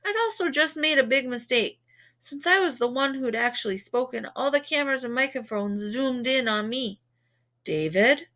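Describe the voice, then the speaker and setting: Woman storyteller for a children's novel, slightly high voice, expressive scripted read. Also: normal speed. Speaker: read-aloud reader. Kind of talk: expressive children's book narration.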